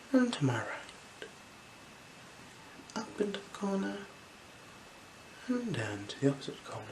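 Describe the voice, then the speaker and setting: Creaky voice, soft-spoken ASMR, whispered phrases, soothing eye exam role-play, background noise. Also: mid-range voice, poor audio. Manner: gentle, unhurried, soothing instructions. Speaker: ASMR performer playing an eye examiner.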